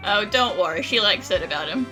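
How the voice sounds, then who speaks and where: A woman streaming a game with casual, giggly, teasing and expressive reaction commentary. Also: mid-range voice, fairly quick. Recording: game music behind.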